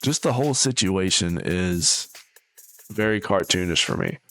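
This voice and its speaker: A man's voice: low voice, animated, measured pace, fairly bright tone. A man giving casual reaction commentary in a blunt, scornful manner. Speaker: online commentator.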